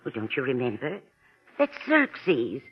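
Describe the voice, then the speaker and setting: An actress playing a doting, sharp-witted old aunt who probes gently, in a 1940s style radio drama. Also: female voice, very deep voice, huge pitch swings, measured pace, slightly rough, full character voice. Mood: gently suspicious. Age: older. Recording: muffled old radio recording, faint hiss.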